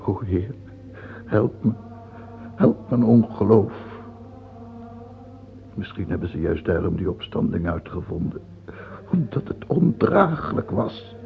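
An old king on his deathbed in a radio drama. His voice is gravelly and lurches between frightened pleading and imperious orders. The sound is thin and tinny, with faint hiss. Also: deep voice, lively intonation, takes it slowly, long pauses, heavily acted. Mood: praying. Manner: theatrical, swinging from pleading to commanding. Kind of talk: Dutch radio play acting.

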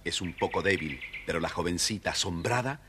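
A man narrating a children's story from a script in an expressive, dramatic storytelling manner. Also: deep voice, brisk, a little hiss or hum.

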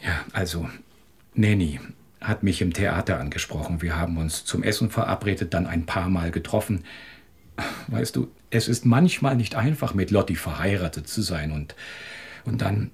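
An actor in a German radio drama playing a guilt-ridden married man, hesitant and apologetic as he confides, with a husky, creaky voice. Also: fairly low pitch, a little mellow, full character voice. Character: guilt-ridden married man nervously confessing a secret affair.

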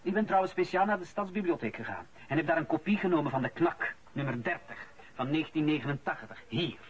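A man's voice: a little high, expressive, fast talker, close to an everyday voice. An actor playing an indignant, defensive male suspect who argues back with a Flemish accent in a radio play. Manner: argumentative, protesting. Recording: radio play with clear background noise.

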